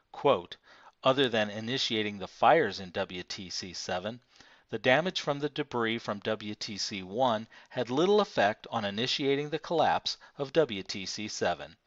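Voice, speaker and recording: A man reading a nonfiction book aloud as scripted narration, in a measured, formal manner. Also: lowish voice, a bit bright, dry recording. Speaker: audiobook reader. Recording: dull, lo-fi, dry close recording.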